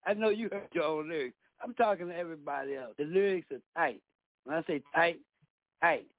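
A man, himself a radio host, calling in and hyping up the guest with emphatic, drawn-out praise. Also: a little high, dry recording. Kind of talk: casual radio chat. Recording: dry tinny phone line.

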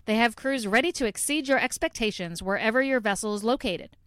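A woman reading a scripted promotional advertisement in a polished, measured, deliberate way. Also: a little high, fairly bright tone, smooth, dry recording, studio quality. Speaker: advertisement announcer.

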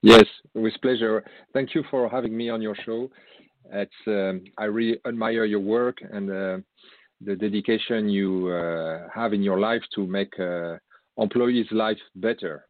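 French guy being interviewed, lowish voice, dry phone sound, stops and starts a lot. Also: steady tone, measured pace, no echo. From France. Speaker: interview guest, company founder. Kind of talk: conversational interview answers. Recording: roomless, narrow phone-line sound.

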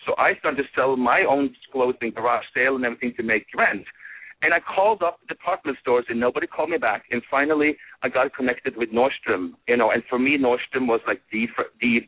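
Man from Norway, fragrance designer guest, animated storytelling, husky voice, dry tinny phone line. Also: medium pitch, fairly quick, no echo. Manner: animated, many fillers and restarts. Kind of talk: casual storytelling in an interview.

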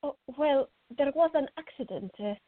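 A woman's voice: high-pitched, expressive, moderate pace, no room sound. A woman playing a fiery, impulsive, stubborn teenage girl in radio theater, exclamatory and easily flustered. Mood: flustered, apologetic. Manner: exclamatory, flustered, muttering to herself. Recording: tinny, phone-like narrow band.

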